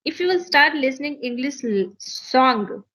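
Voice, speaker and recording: A woman's voice: high voice, lively intonation, unhurried, fairly bright tone. A woman with an Indian accent whose first language is Maithili, an English teacher earnestly explaining fluency and grammar, often repeating and restarting phrases. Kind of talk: conversation practice advice.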